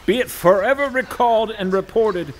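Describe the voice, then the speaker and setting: Man playing a pompous high-pitched stern judge. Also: normal speed, slight background noise, close to an everyday voice. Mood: stern, authoritative. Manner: pompous, declamatory, formal. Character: stern, pompous male chief justice delivering a ruling. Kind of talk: old-time radio courtroom drama.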